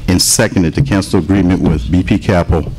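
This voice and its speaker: A man chairing a village board meeting as mayor, calling for motions in a brisk, procedural way. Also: sits low, a little mellow, a little hiss or hum.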